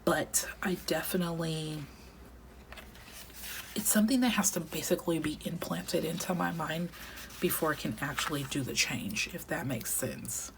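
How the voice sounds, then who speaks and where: A woman in her thirties chatting casually while decorating a planner, reflective and talkative, often dropping into soft half-whispers, her voice going a little raspy from a long day of recording. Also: sits low, moderate pace, noisy background. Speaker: planner vlogger. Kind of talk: casual plan-with-me chat.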